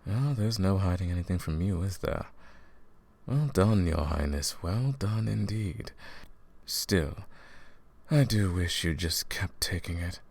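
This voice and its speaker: A man playing a smug, scheming royal advisor and sorcerer in a fantasy audio roleplay, silky and condescending, with a gravelly voice. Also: deep voice, animated, darkish tone, full character voice. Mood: mocking, amused. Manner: silky, condescending, theatrical, drawn-out menacing phrasing. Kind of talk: fantasy audio roleplay, intimate villain monologue.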